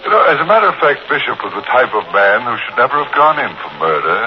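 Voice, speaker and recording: An actor playing a wry, mock-sinister host of a 1940s radio mystery show. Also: male voice, medium pitch, sing-song, fast, natural voice. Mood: ghoulish amusement. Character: sardonic, macabre male mystery-show host. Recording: dull, noisy 1940s radio broadcast.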